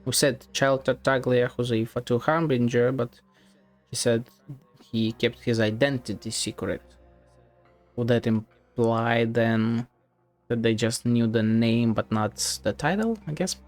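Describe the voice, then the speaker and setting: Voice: mid-range voice, a little slow. Musing game streamer thinking aloud over music. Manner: muttering, swearing, thinking aloud. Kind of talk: casual play-along gaming commentary. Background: video game music.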